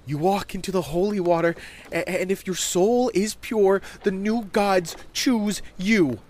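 Storybook boy, played by a male narrator: high, proud, earnest cult kid who trips over his words. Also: unhurried, darkish tone. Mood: proud, eager. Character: eager, proud young boy raised in a cult.

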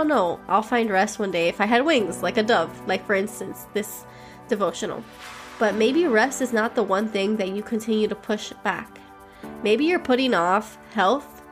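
A woman from Miami, sounding stuffy from a cold, hosting a daily devotional podcast and leading listeners in prayer with an earnest, heartfelt manner. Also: medium pitch. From Miami, Florida.

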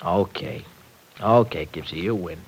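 An actor playing a brash, skeptical young working man in an old-time radio serial, slangy, folksy and excitable. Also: sits low, unhurried, husky, heavily acted. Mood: resigned, concerned. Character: brash, folksy young dairy worker, skeptical, protective boyfriend. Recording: vintage radio drama recording.

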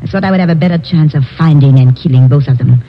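A woman's voice: deep voice, quick pace. A woman playing a determined, vengeful reporter in an old-time radio drama, speaking formally and with command. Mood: grim, resolute. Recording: muffled, noisy vintage radio recording.

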